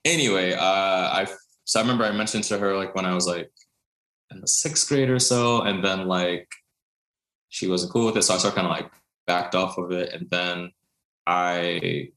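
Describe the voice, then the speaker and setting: Deep-voiced Brooklyn man in an echoey room. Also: a bit bright. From Brooklyn, New York. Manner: chatty, many fillers, laughs often. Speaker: guest, contemporary dancer. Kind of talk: casual interview storytelling.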